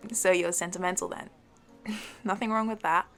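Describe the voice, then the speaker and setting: A woman's voice: mid-range voice, fairly even intonation, soft background music, natural voice. A young woman playing a sporty, competitive childhood best friend in an audio roleplay, with a playful, teasing, slightly hesitant manner. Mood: wistful, friendly. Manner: playful, teasing, with hesitant halting starts and giggles. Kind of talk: audio roleplay acting, one-sided conversation with listener.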